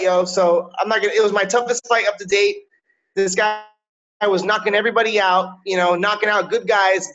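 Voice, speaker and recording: A man's voice: high-pitched, fairly bright tone. A man in his thirties from Miami, a fighter talking casually on a video call, peppering his speech with "yo" and "you know what I'm saying". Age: 30s. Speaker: MMA fighter, guest. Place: indoors, small room. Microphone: video call webcam. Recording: slightly dull lo-fi call audio.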